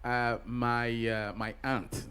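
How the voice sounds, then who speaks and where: A middle-aged man from Ghana, a pastor being interviewed, answering in a halting way with many pauses and restarts. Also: medium pitch, level tone, crowd noise. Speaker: pastor and guest.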